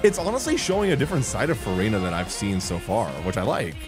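A young man streams a casual, excited reaction over music. His pitch moves around a lot and he exclaims often. He speaks close to a desk mic in a small home room. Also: fast. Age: young adult. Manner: enthusiastic, exclamatory. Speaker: streamer reacting to a game trailer. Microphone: close desk microphone on an arm. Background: music from the game trailer.

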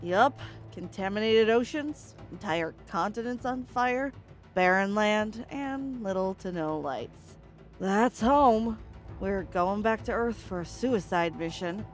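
A woman's voice: medium pitch, takes it slowly, natural voice. A woman playing a determined, exhausted survivor piloting an alien spacecraft in a sci-fi audio drama, commanding and urgent. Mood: grim, resolute. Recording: dramatic music behind the voice. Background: music.

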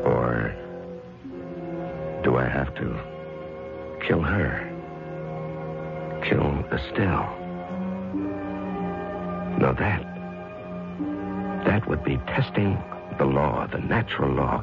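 Brooding secret-killer judge, very low, wildly swinging intonation, theatrical radio drama. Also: male voice, sing-song, slow, gravelly, pauses often, highs cut off, full character voice. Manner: theatrical, rhetorical, self-questioning. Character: brooding married judge, secretly a murderer, coldly self-questioning. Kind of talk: 1970s radio drama acting. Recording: band-limited 1970s radio broadcast, music behind.

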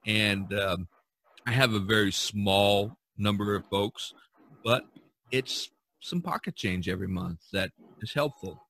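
A man's voice: on the low side, measured pace, fairly bright tone, no room sound. A man hosting a casual podcast about art, talking in a rambling, enthusiastic way and repeating himself. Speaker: podcast host and artist.

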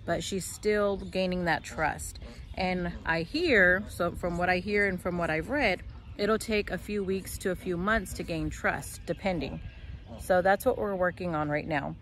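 A woman's voice: mid-range voice, a little hiss or hum. Chatty young woman vlogging outdoors. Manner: chatty, explaining with fillers and restarts. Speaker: homestead vlogger. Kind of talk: casual vlog talk to camera. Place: outdoors by a shed in a fenced yard. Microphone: phone held at arm's length.

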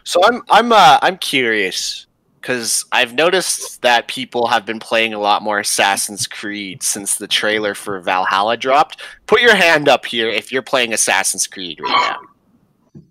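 An enthusiastic young man chats on a group video call with a lively, swooping pitch. His voice is bright and forceful. The sound is dry and close. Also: a little slow, no room sound, rough recording. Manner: animated and enthusiastic. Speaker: podcast co-host.

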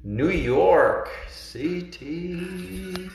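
A man's voice: sits high, extremely slow, creaky, darkish tone. A man in his thirties from Argentina, a life coach chatting earnestly with viewers on a phone livestream from his living room. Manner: earnest, encouraging, reads out viewer comments. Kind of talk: casual livestream chat with viewers. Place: bright living room indoors. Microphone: phone at arm's length. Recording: very echoey room with faint music.

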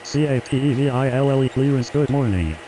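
A man's voice: mid-range voice, brisk. A man speaking clipped, scripted air traffic radio phraseology.

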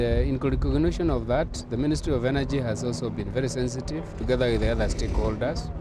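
A middle-aged man from Kenya, an energy official, speaking formally outdoors with frequent pauses. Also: medium pitch, heavy background noise. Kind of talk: outdoor news interview. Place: outdoors at a wind farm.

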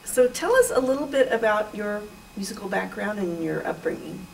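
A woman's voice: medium pitch, lively intonation, moderate pace, creaky, far from the mic, a little hiss or hum, poor audio. A woman in her fifties hosting an interview, asking her guest questions in a welcoming manner. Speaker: host, violin teacher. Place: office room, indoors.

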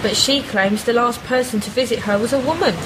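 A woman's voice: on the high side, brisk, background noise, natural voice. A woman playing a worried but determined amateur detective in a radio play, earnest and polite.

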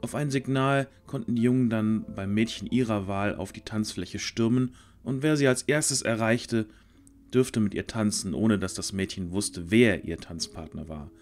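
Male narrator, lowish voice, measured storytelling, fantasy audio drama, soft music behind.

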